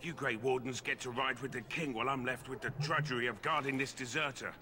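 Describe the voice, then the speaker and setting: An actor playing a grudging, callous soldier on guard duty in a fantasy game, surly and sardonic. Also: male voice, mid-range voice, fairly even intonation, quick pace, sharp tone. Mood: bitter grumbling.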